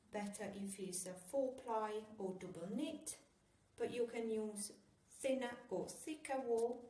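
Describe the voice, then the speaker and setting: A woman's voice: mid-range voice, roomy sound, rough recording. A woman with an Italian accent from Italy, leading a step-by-step crochet tutorial in a playful, chatty way with small jokes. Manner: playful, chatty, gently joking instructions. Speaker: crochet teacher.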